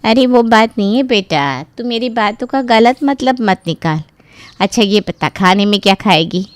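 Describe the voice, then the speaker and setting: A woman's voice: mid-range voice, animated, moderate pace, no room sound, natural voice. A woman voicing a practical middle-aged mother in a cartoon story, coaxing and explaining as she talks. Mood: coaxing, concerned.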